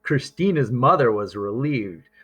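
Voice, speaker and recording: A man reading a children's story aloud as narrator, with expressive, deliberate phrasing. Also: medium pitch, breathy edge. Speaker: storybook reader.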